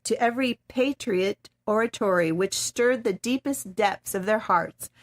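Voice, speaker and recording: A woman giving a dramatic reading of a classic story in a measured, expressive storytelling manner. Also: fairly high pitch. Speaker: guest reader.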